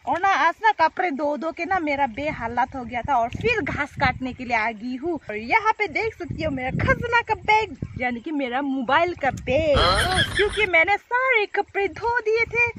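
A woman's voice: very high-pitched, fairly bright tone, lo-fi sound. A woman in her thirties chatting casually and informally to viewers, often repeating herself, recorded on a phone outdoors. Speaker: village life vlogger. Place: outdoors in open rice fields and village. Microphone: phone, at arm's length or set up nearby. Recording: outdoor phone audio with light noise.

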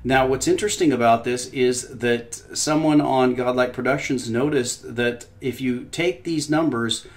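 A man hosting casual market commentary over a shared screen. He talks in a loose, conversational way, pausing often with fillers and dropping skeptical, opinionated asides. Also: mid-range voice. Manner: conversational, frequent pauses and "uh" fillers. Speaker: financial commentary host.

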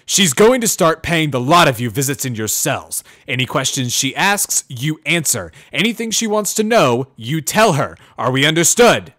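A man's voice: fairly high pitch, sing-song, normal speed, few pauses, a bit bright, voice pushed up. A man voicing a stern, bullying pony prison guard in a dramatic story reading, barking commands with contempt. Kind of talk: dramatic reading of fan fiction.

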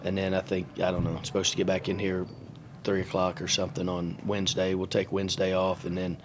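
A middle-aged man, a basketball coach, answering questions at a postgame press conference in a halting, matter-of-fact way, with many fillers and restarts, into a table microphone. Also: low voice, monotone, background noise. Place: press room in front of a sponsor backdrop. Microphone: table mic on a stand.

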